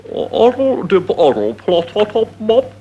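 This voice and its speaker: A flower pot man who drawls gibberish very slowly in a low, mellow voice. The pitch wanders widely through each babble. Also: sing-song, muffled recording, slight background noise. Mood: babbling. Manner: slow nonsense babble.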